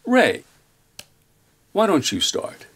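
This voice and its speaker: A man's voice: high voice, huge pitch swings, takes it slowly, fairly bright tone, husky, full character voice. An actor playing an earnest, courteous and increasingly exasperated man chairing a meeting in a screen comedy. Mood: polite. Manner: formal, courteous, persistent. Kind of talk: naturalistic screen comedy acting. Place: indoor meeting room.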